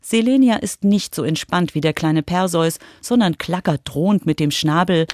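A woman's voice: on the low side, moderate pace, a little mellow, dry recording, clean studio sound. A woman reporter reading scripted narration for a children's radio feature.

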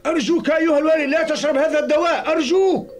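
An actor playing an earnest, urgent adviser to a governor in a cartoon dub. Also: male voice, very high voice, fairly even intonation, very fast, a little hiss or hum. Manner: urgent, emphatic. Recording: music behind the voice.

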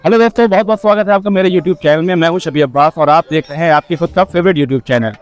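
A man's voice: on the high side, quick pace. A man in his thirties hosting a casual food vlog, talking chattily with fillers, recorded on a lapel mic. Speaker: food vlogger host. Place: busy street at night, then restaurant indoors. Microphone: clip-on lapel mic.

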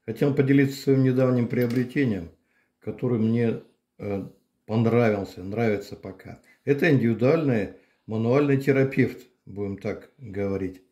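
An older man casually demonstrating a massager at home, explaining plainly with pauses and fillers. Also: medium pitch, mellow. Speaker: channel host reviewing a massager. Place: home room, indoors. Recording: echoey room.

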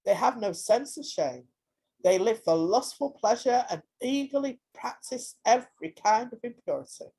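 A woman reads scripture aloud in a husky, slightly rough voice. Her pitch moves widely from phrase to phrase, making the reading lively and expressive. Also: low-quality recording. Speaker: scripture reader.

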